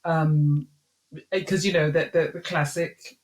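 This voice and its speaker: Chatty woman in an echoey room. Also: low voice. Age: middle-aged. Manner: animated, chatty, quick to laugh, many restarts. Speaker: podcast co-host. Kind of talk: casual chat. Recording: roomy, echoey sound.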